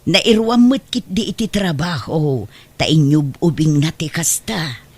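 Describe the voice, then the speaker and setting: Woman as middle-aged godmother, protective, concerned, expressive, radio drama, dry recording. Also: deep voice, measured pace, dry recording. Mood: reassuring, pleading. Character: protective, sympathetic godmother sheltering a runaway. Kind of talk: Ilocano radio drama acting.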